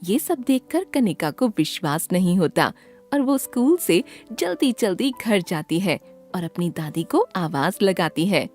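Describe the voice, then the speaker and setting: A woman's voice: lowish voice, big pitch swings, measured pace, darkish tone, soft background music. A woman narrating a scripted children's story as the storyteller.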